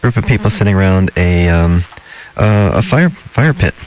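A soft-spoken man, a dentist hosting a casual interview podcast, talking gently with many ums and restarts. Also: deep voice, lively intonation, moderate pace. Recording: lo-fi with faint noise.

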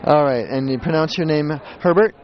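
A man's voice: on the high side, a little fast, muffled recording. A man hosting a radio talk show, upbeat, asking short follow-up questions.

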